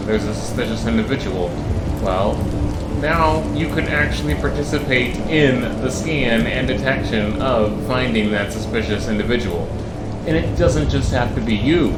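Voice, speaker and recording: A man's voice: medium pitch, background noise. A man giving casual, chatty gameplay commentary with playful, rambling asides. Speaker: gamer, video host. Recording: roomy voice over loud music.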